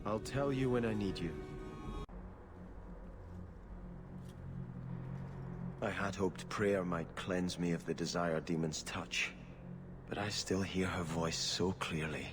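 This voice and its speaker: An actor playing a devout, conflicted young exiled prince in a video game, with a formal, confessional manner. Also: male voice, deep voice, unhurried, music in the background. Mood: troubled. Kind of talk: video game cutscene acting.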